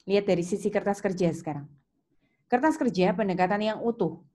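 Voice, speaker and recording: A woman's voice: mid-range voice, fairly quick, warm tone. A woman with an Indonesian accent teaching step by step like a lecturer, in a didactic way with questions and reminders. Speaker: accounting lecturer. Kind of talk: step-by-step lesson with worked examples. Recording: clear background noise, keyboard clicks.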